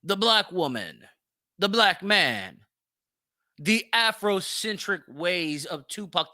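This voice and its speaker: Man, podcast host, bright punchy voice, dry close sound, blunt, emphatic, sweary, opinionated solo commentary. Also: high-pitched, dry recording. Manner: emphatic, repeats phrases for stress.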